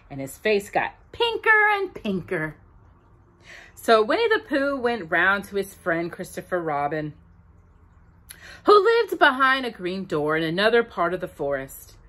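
Lively sing-song woman reading a storybook. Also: medium pitch. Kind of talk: children's story read-aloud. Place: home room with bookshelves, indoors. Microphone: device camera close up.

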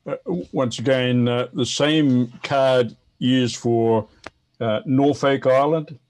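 A man in his seventies with a New Zealand accent, chatting and explaining casually in a halting way with repeated words. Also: mid-range voice, a little mellow. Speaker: guest, veteran amateur radio operator. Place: home office, indoors.

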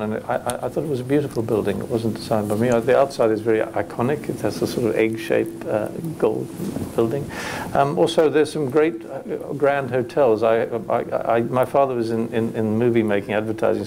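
A man's voice: medium pitch, steady tone, fairly quick, creaky, darkish tone, slight background noise. A man speaking as a panelist at a hotel industry conference, thoughtful and hesitant with many restarts.

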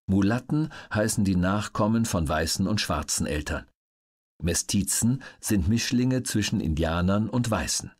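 Husky, low-voiced male narrator. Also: lively intonation, a little slow, a little mellow. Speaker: audiobook narrator. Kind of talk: scripted documentary audiobook narration.

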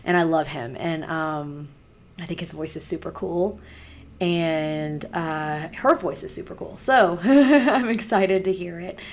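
Raspy-voiced woman chatting on webcam. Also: on the low side, a little slow. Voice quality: raspy voice from singer's nodules. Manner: chatty and rambling, many fillers. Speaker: music reaction vlogger. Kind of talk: casual chat to camera. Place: small home room with posters. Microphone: webcam, wearing headphones.

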